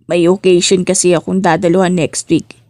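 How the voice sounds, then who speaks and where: A woman's voice: fairly low pitch, level tone, normal speed, warm tone, dry recording, clean studio sound, lightly acted. Woman reading as a confident flirty bachelor. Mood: smooth, teasing. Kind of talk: audiobook character dialogue.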